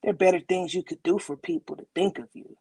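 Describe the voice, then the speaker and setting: A woman performing an audition monologue as an exasperated relative, accusing and pleading in a rapid-fire delivery. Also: on the low side, fairly even intonation, mellow, husky, no echo. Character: exasperated relative confronting an attention-seeking, drama-making family member.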